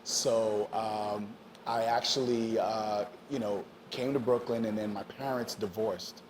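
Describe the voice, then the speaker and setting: A man in his fifties from Haiti, a DJ telling his story in a casual interview, relaxed and full of you-knows and ums, slipping into French now and then. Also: on the low side, measured pace, noisy background. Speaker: DJ guest.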